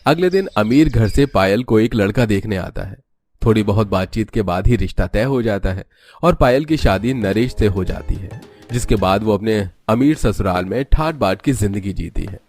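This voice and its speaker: A man's voice: on the low side, animated, normal speed, a little mellow, faint music behind. A man narrating a scripted cartoon story.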